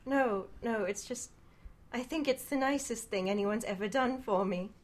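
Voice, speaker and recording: A woman's voice: mid-range voice, normal speed, lightly acted. A woman voicing a weary, fussy, put-upon grown man in a read-aloud story, grumbling and prim. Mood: tearful. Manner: grumbling and prim, easily flustered. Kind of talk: storybook reading.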